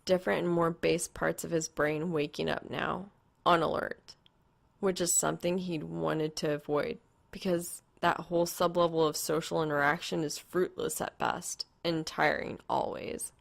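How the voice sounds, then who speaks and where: A woman's voice: low voice, little pitch movement, normal speed, vocal fry. A woman reading a piece of fan fiction aloud as a scripted narration.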